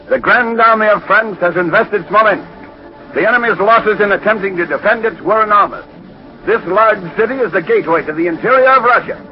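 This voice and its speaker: Male actor as proclamatory war-bulletin reader, high clipped voice, 1940s radio drama, muffled recording. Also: natural voice. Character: urgent military bulletin announcer proclaiming crushing victories.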